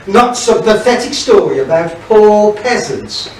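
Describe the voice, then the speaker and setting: A pompous, scheming pantomime sheriff played by a man, pitched high and gloating with theatrical relish. The voice rings in a very echoey hall, picked up from a distance. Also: expressive, unhurried. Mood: scornful. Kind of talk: pantomime stage acting. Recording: echoey hall, distant mic, faint noise.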